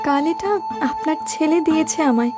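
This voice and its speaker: A woman's voice: high-pitched, lively intonation, normal speed, music in the background. A woman playing a trusting, easily frightened young bride in a cartoon story. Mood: happy, sweet. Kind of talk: animated cartoon story dialogue.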